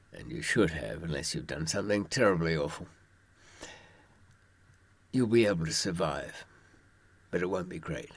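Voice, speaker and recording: A man with a very low, husky voice talks informally, as if sharing hard-won advice. His intonation moves widely, and his wry, dry asides go straight to the listener. The sound is close and dry. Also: no echo. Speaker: author giving prison survival advice from experience. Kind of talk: informal monologue with anecdotes.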